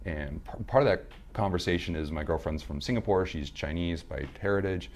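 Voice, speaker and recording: A man with a very deep, slightly hoarse and smooth voice talks quickly as a podcast guest. He rambles through stories with restarts and fillers in relaxed conversation. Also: slight background noise. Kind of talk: casual interview chat.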